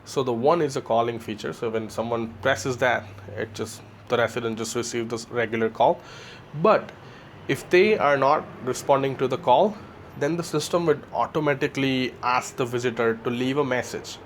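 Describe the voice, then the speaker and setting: A man's voice: mid-range voice, moderate pace. A man giving an informal, explanatory product demonstration, often checking in with "right?" at the end of a point. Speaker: security installer presenting a product. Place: on site at a building's entrance. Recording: clear background noise on location.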